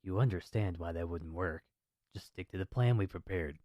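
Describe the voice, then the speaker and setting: A man's voice: low-pitched, moderate pace, dark tone, no room sound, muffled recording, natural voice. An actor playing a confident male assassin in an audio drama, curt, dismissive and self-assured. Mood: firm.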